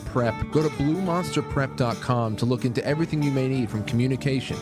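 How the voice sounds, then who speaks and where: A man's voice: medium pitch, fast, music in the background. A man hosting a casual solo show, chatting informally in a loose, rambling way. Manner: informal, rambling, lightly profane. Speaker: talk show host. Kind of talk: casual solo livestream chat with ad read.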